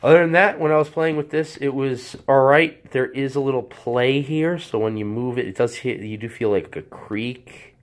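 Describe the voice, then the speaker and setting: A man with a hoarse voice from a cold, casually reviewing a product off camera while he handles it, rambling and correcting himself. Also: medium pitch, moderate pace, hardly any pauses. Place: indoors at a table. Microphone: handheld camera, off-screen speaker.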